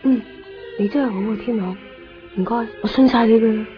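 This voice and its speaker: A woman's voice: fairly high pitch, a little fast, faint music behind, close to an everyday voice. A woman playing an intense, resentful teenage schoolgirl in a radio drama, insistent and eerie, with a Hong Kong Cantonese accent. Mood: insistent, agitated. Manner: insistent, brooding. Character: intense, cold, resentful teenage schoolgirl, eerily fixated on exams. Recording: muffled 1990s radio drama recording.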